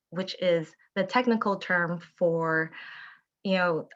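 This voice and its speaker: A woman's voice: on the low side, fairly bright tone. Earnest woman on a dull call line. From Taiwan. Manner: earnest, measured, with frequent ums. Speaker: company founder presenting. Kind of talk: prepared presentation. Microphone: video call.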